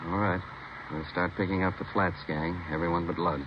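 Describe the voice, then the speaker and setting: A man playing a steady, commanding police captain in a vintage radio crime drama, brisk and clipped. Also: sits low, lightly acted. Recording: muffled vintage radio recording, clear noise.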